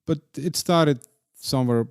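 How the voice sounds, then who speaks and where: A man interviewing a guest as host, informal and joking, with a halting, conversational way of asking questions. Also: fairly high pitch, smooth, no room sound, clean studio sound.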